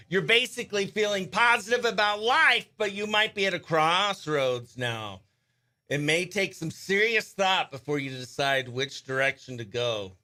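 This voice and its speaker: A man hosting a radio show, chatting in an informal, excitable, slangy way. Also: high voice. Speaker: radio host. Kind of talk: casual behind-the-scenes chat and upbeat radio interview.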